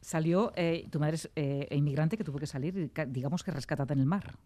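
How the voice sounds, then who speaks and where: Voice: mid-range voice, a little fast, mellow, no room sound. An interviewer hosting a conversation, asking questions in a hesitant, tentative way. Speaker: interviewer, radio host.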